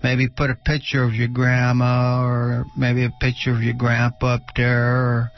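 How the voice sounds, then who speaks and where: A middle-aged or older man with a creaky, gravelly voice teaches about spiritual healing in conversation. His delivery stays fairly even in pitch and is halting, with many pauses mid-sentence. The radio recording is slightly dull. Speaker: spiritual healer and radio show host.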